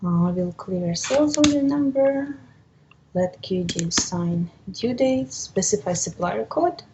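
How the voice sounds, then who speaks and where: Female software trainer, mid-range voice, methodical step-by-step explanatory delivery for a webinar demo. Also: mid-range voice, lively intonation, unhurried, noisy background.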